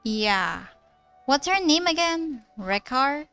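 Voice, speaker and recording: A woman's voice: high-pitched, lively intonation, takes it slowly, sharp tone, faint music behind. A woman live streaming a game, reacting with short, outspoken interjections.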